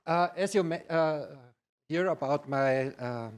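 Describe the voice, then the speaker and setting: A middle-aged or older man with a Bavarian German accent, giving a conference presentation in halting, non-native English. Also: fairly high pitch, clear, resonant tone. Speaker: conference speaker, IT practitioner.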